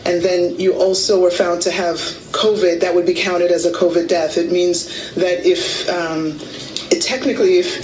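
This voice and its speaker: A woman gives a halting explanation as a health official at a briefing. The room rings with echo, and faint noise sits behind her. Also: lowish voice.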